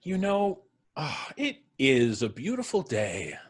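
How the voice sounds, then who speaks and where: An actor playing a calm, amused man who cheerfully expects to die soon, talking in an unhurried, cryptic way. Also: mid-range voice, breathy edge, exaggerated voice. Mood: serene. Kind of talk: live radio drama reading.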